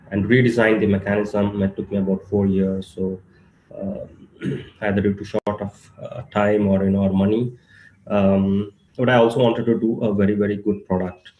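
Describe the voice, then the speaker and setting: A man with a cold speaking haltingly as a startup founder on a virtual panel, with many ums and pauses. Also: lowish voice, reverberant, muffled recording, slight background noise. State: has a cold. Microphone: video call.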